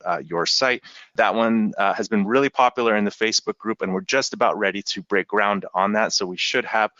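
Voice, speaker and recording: Male webinar host, low voice, loose delivery with many "uh"s, dry lo-fi sound. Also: dry recording. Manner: relaxed, with frequent "uh" fillers. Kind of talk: software training webinar.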